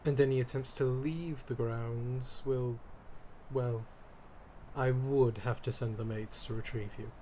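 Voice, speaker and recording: A man's voice: mid-range voice, steady tone, measured pace, mellow, slight background noise, lightly acted. A man playing a centuries-old vampire lord in a scripted roleplay addressed to the listener, haughty and dramatic, wry and easily flustered. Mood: grudging, menacing.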